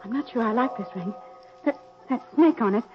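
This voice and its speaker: An actress playing a trusting, lovestruck and uneasy woman, halting and emotional, in 1940s radio drama style. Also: on the high side, faint music behind, natural voice. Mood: hopeful, uneasy. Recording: muffled old radio recording, faint noise.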